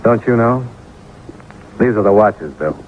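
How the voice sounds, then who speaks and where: A man's voice: on the low side, animated, unhurried, a little hiss or hum. A man acting a cool, laconic insurance investigator in an old-time radio detective drama, clipped and understated. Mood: coolly knowing. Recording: muffled old radio recording, music behind.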